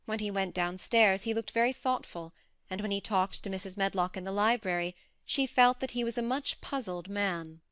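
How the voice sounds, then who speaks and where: Smooth-voiced woman narrating a storybook. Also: medium pitch, moderate pace, a bit bright, dry recording, studio quality. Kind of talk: scripted audiobook narration.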